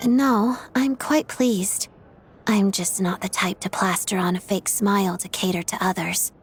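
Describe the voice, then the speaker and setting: A woman playing a poised, reserved and frank young ballet star in a video game cutscene, speaking in a measured, understated way. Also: mid-range voice, steady tone, slight background noise, lightly acted. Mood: composed.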